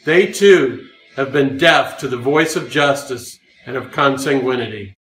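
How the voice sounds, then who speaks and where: A man's voice: medium pitch, measured pace, fairly bright tone. Echoey man reading a formal document. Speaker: volunteer reader. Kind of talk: formal read-aloud of a historical document. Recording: echoey room.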